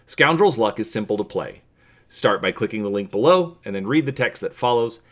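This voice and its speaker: Guy doing dramatic storybook narration, kinda deep, really lively up and down delivery. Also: fairly quick. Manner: expressive, theatrical reading with occasional stumbles. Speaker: reader and channel host.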